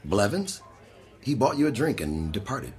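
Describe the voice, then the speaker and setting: An actor playing a polite, puzzled, deferential waiter in a radio drama, formal and measured. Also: male voice, fairly low pitch, very animated, a little mellow, soft background music. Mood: polite puzzlement.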